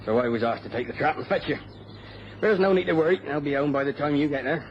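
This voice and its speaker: An actor playing a plain-spoken, guarded country trap driver with a rural English accent, in British radio drama style. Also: male voice, a little high, quick pace, close to an everyday voice. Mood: polite, matter-of-fact. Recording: dull old radio recording, clear noise.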